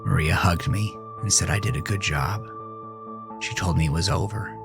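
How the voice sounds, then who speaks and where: An actor playing a haunted, dazed teenage boy, narrating a horror audio drama as a brooding, halting confession. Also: low voice, gravelly, over music. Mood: grieving, subdued.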